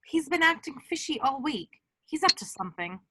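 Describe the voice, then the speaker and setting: A woman's voice: high-pitched, sing-song, fairly quick, pushed and bright, no room sound, full character voice. A woman playing an earnest, worried, clever teenage schoolgirl with an insistent manner in an amateur script read. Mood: concerned.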